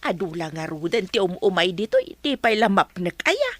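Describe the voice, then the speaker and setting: Inquisitive worker's wife played by a woman, lively intonation, close dry sound, worrying, radio comedy drama. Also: medium pitch, normal speed, no room sound. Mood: curious, worried.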